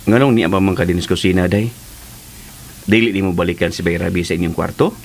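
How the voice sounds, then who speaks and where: A man playing a protective, outspoken, folksy uncle in a radio drama, with an excitable, exclamatory delivery. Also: deep voice, fast talker. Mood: curious, surprised. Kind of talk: lively radio drama acting. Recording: music under the voice.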